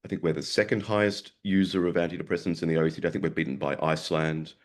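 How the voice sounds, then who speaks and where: A man with a sore throat and a gravelly voice, giving a webinar lecture over a video call, halting and repeating words as he goes. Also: extremely low pitch, fairly even intonation. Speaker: guest presenter, psychiatrist.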